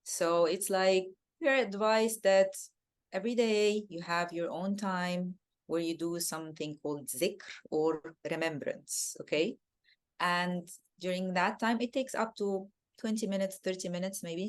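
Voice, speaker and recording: A woman from Egypt with an Egyptian Arabic accent, a native Arabic speaker, answering interview questions in a soft, reflective way and often repeating words as she searches for them. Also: fairly low pitch, measured pace. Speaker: guest.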